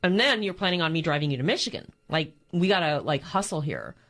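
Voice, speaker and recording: Middle-aged radio lady just chatting, kinda low voice, lots of "like", rambly stories. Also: lively intonation, quick pace, fairly bright tone. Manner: chatty storyteller, lots of "like" and restarts. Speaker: radio co-host. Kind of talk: casual chat. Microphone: studio microphone.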